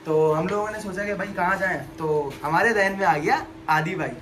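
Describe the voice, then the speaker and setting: A man's voice: high-pitched, moderate pace, fairly bright tone. A young man with a Pakistani accent talking casually to camera as a vlogger in an indoor shop. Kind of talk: casual vlog talk to camera. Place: busy barber salon, indoors. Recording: busy salon chatter behind voice.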